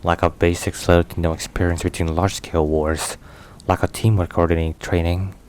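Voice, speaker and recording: Low-pitched male mafia boss, acted, stern and weary, protective, measured ASMR roleplay delivery, rain and thunder behind. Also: lightly acted. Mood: stern, blunt. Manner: grave, measured, halting, with heavy sighs. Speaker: voice actor. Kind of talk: intimate ASMR roleplay acting. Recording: steady rain and thunder noise.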